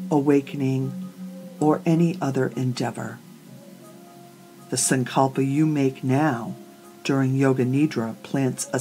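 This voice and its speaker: A woman leading a guided meditation as a yoga nidra teacher, speaking calmly and soothingly, with deliberate pauses between short phrases. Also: a bass voice, poor audio. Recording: voice over prominent meditation music.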